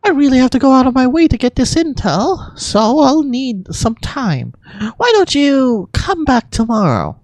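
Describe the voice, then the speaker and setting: A woman's voice: high voice, big pitch swings, measured pace, a little mellow, no room sound, pitched above the performer's own voice, exaggerated voice. A woman voicing a wheedling, greedy female merchant from a video game, whiny and exaggerated in her haggling. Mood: grudging. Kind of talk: fan voice-over of video game dialogue.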